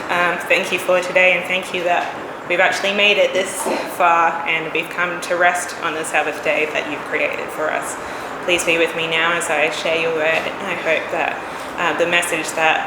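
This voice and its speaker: A hoarse woman preaches in a casual, conversational way, with an occasional cough. Her voice sits a little low, and it echoes around a roomy church hall with a steady air-conditioning hum behind it. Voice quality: coughs now and then. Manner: relaxed, conversational, chatty asides. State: hoarse, has lost her voice. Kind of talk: casual sermon.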